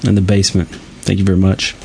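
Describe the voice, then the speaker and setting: A man hosting a podcast in casual chat, informal and halting as he goes. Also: low-pitched, completely flat delivery, gravelly, darkish tone, a little hiss or hum. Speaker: podcast host.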